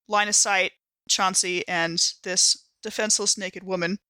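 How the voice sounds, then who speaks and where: A woman's voice: medium pitch, steady tone, measured pace, bright, forceful tone, smooth, no room sound. A woman chatting casually as a player in a tabletop role-playing game, describing what her character does. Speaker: player in an actual play podcast.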